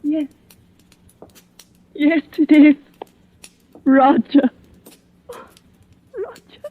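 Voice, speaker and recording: An actress playing a tender, anxious middle-aged wife, earnest and emotional in 1940s radio melodrama. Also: female voice, sits high, extremely slow, no room sound. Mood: pleading, tearful. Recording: muffled, narrow old radio recording.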